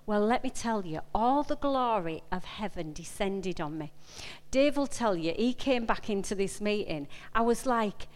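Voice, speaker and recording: Yorkshire woman preaching in a reverberant hall. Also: medium pitch. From Yorkshire, England. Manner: conversational, emphatic, repeats phrases and questions the listeners directly. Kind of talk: informal personal sermon. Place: large indoor meeting room. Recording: echoey hall with long reverb.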